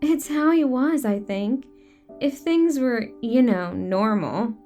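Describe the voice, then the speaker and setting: A woman playing a hesitant, wistful young sister in a horror audio drama, speaking haltingly. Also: a little high, warm tone, soft background music.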